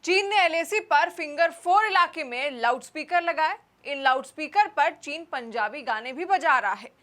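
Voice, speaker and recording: A woman's voice: high voice, expressive, normal speed, a bit bright. A woman presenting scripted news in a formal, emphatic delivery.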